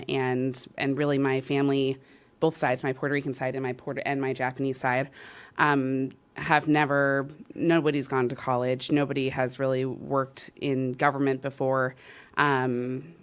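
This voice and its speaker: A woman's voice: deep voice, smooth. A woman in her thirties from New York giving an earnest public speech as a parks official, with many fillers and restarts.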